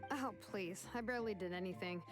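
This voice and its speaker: A woman voicing a brisk, modest young researcher in a video game, expressive yet matter-of-fact. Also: mid-range voice, over music, close to an everyday voice. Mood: brisk, analytical.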